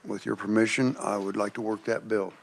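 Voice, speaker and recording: A man chairs a committee meeting, moving through motions and votes with courtesy. His voice sits a little low. He speaks in a plain, procedural way. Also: level tone, brisk, creaky, slight background noise. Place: legislative committee room.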